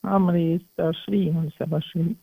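A man in his twenties with a Tunisian accent, calling a radio show and speaking haltingly about himself over a phone line. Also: a little high, steady tone, vocal fry, warm tone, breathy edge, no room sound. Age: 20s. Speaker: first-time radio caller. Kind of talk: hesitant personal account on a phone-in. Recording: tinny narrow phone line.

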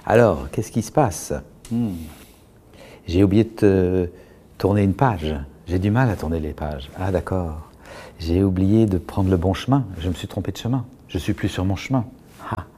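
A middle-aged man, speaking as a guest in a calm, measured, reflective way, pausing often and restarting his sentences. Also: low-pitched. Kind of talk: reflective explanatory talk.

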